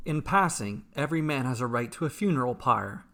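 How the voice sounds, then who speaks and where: A man narrates a fantasy story from a script. His mid-pitched voice has a bright, forceful edge. He phrases deliberately and dramatically, in short measured units. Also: lively intonation.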